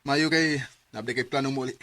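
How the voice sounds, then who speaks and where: A man narrating and acting as the lead in a radio drama, earnest and gentle in manner. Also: medium pitch, steady tone, brisk, background noise. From Cebu, Philippines.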